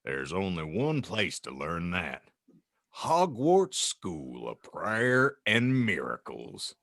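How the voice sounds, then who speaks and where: A man acts out a big storybook giant of a man, pitched low and hearty. He speaks with proud, pious devotion and takes his time. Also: wildly swooping pitch, takes it slowly, fairly bright tone, no room sound, clean studio sound, exaggerated voice. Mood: cheerful, pious.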